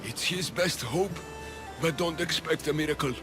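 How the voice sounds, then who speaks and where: A man's voice: fairly high pitch, normal speed, close to an everyday voice. Man acting a dying physician, pained, music behind. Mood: resigned. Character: dying male physician, grieving his slain pets, pleading. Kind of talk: dramatic video game acting.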